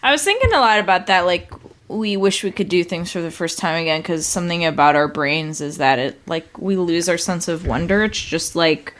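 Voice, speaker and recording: A woman co-hosting a relaxed podcast, her voice sitting a little low. She rambles freely through casual chat and laughs often. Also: fairly quick. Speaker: podcast co-host.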